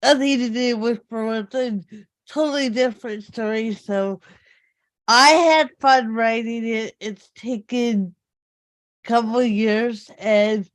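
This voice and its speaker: A woman writer giving a casual self-introduction, halting and repeating her words as she goes. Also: fairly high pitch. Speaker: writer, table read participant.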